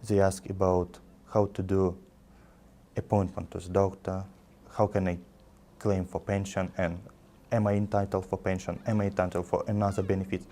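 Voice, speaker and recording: A man's voice: low-pitched, little pitch movement, moderate pace, warm tone. A man representing a community group, speaking careful, formal, non-native English.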